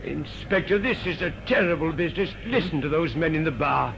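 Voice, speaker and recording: An actor playing a fretful, polite hotel manager in a vintage radio drama, with a fussy, agitated way of talking. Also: male voice, fairly high pitch, lively intonation, fairly quick, gravelly, fairly bright tone. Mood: distressed. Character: fretful, self-concerned male hotel manager, eager to help. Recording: muffled, noisy old radio recording.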